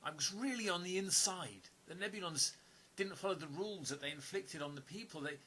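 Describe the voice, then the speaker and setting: A man acting in an audio play as an observant stranger with a hidden past, speaking in an earnest, deliberate, sometimes hesitant way. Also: high-pitched, sharp tone, a little hiss or hum. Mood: earnest, confiding.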